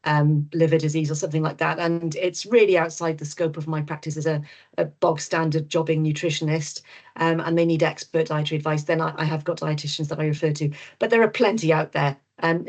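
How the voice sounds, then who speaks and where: A middle-aged Welsh woman answers webinar questions with a lowish voice. She is frank and reassuring, and her talk is full of ums and repeated words. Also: darkish tone. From Wales. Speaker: GP and nutritionist guest speaker.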